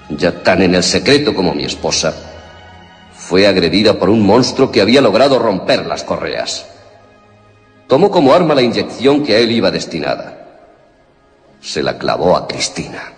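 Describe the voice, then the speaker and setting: Científico atormentado, grave y resonante. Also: male voice, lowish voice. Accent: Castilian Spanish. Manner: theatrical, authoritative. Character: ruthless, tormented scientist father justifying monstrous experiments. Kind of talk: suspense radio drama acting. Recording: long reverberation, music underneath.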